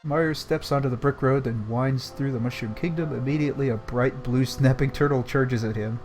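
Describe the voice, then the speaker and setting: A man reads a story aloud in a casual livestream style, slipping into commentary between passages. His pitch sits in the middle range, with faint music underneath. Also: a little fast, a little mellow, rough recording. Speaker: host and reader of a book club livestream.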